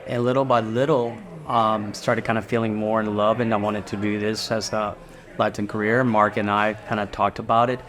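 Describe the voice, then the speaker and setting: A man's voice: on the low side, flat delivery, normal speed, a bit bright. A middle-aged man from Guatemala, a restaurant owner, chatting casually in an interview and rambling a little with fillers and restarts.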